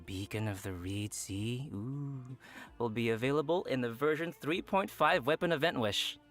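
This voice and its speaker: A man co-hosting a game preview show, presenting updates in a playful, animated way with banter. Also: mid-range voice, moderate pace, faint music behind.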